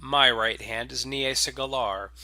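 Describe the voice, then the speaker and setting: A man voicing a smooth, commanding crime lieutenant in a space opera story, formal and measured. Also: medium pitch, fairly even intonation, pushed and bright, no room sound. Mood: cool, commanding. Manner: formal, measured, coolly authoritative.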